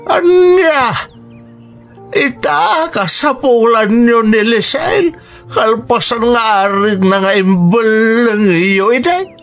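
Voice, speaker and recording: A man's voice: very high-pitched, lively intonation, slow pace, a bit bright, full character voice. A man playing a reproachful old father in a radio drama, with an Ilocano accent. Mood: indignant, scolding. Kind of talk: Filipino radio drama acting. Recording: music bed under dialogue. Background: music.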